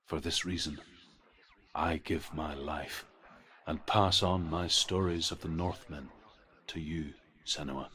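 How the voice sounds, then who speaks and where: Wise old-world norse storyteller for a game, low slow solemn voice, grave delivery. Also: male voice, steady tone, pushed and bright, full character voice. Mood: grave, reverent. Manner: grave, deliberate. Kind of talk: mythic narration in a game.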